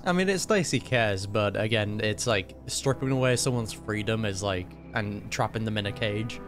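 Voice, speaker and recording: A man's voice: lowish voice, moderate pace, music in the background. A man giving casual, chatty, musing reaction commentary as a video host.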